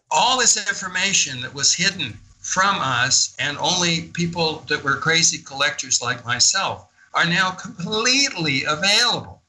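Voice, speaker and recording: An older man speaks with a very bright, forceful edge and a slightly high pitch for a man. He is an enthusiastic interview guest who tells his stories conversationally and leans hard on his points. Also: poor audio. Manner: enthusiastic, emphatic. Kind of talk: animated conversational storytelling in a radio interview.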